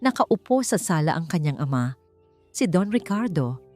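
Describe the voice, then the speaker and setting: A woman with a fairly low voice narrates a dramatic audiobook story. She reads from a script as a storyteller, with clear music running behind her. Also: big pitch swings, normal speed, darkish tone. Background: background music.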